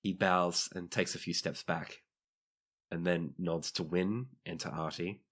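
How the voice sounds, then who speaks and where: A man's voice: low-pitched, fairly even intonation, a little slow, a bit bright. A man improvising narration as game master of a tabletop roleplaying game.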